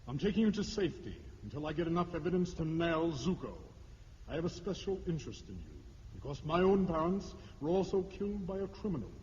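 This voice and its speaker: A man's voice: on the high side, sing-song, brisk, a little mellow, slight background noise. A man playing a grim, commanding vigilante hero and fatherly mentor in a comic book drama, solemn and authoritative. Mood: solemn, stern. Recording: long reverb, muffled, music underneath.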